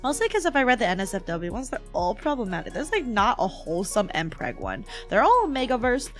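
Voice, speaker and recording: A woman livestreamer with a hoarse, cracking voice, chatting casually with her viewers in a playful, giggly manner. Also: on the high side, sing-song, brisk. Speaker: livestream host, VTuber. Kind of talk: casual livestream chat, reading and answering chat messages. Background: background music.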